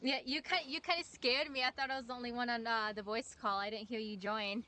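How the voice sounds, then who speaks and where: A woman's voice: fairly high pitch, fairly even intonation, brisk, pushed and bright. A woman streamer chatting casually while she plays a video game. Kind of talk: casual chat while gaming. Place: voice call with game music behind.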